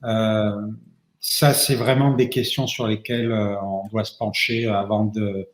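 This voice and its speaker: A man's voice: fairly low pitch, little pitch movement, a little slow. Hesitant man answering in a roomy space. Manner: hesitant, many fillers and restarts. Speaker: immigration lawyer. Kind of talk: answering questions in a Q&A. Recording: roomy echo.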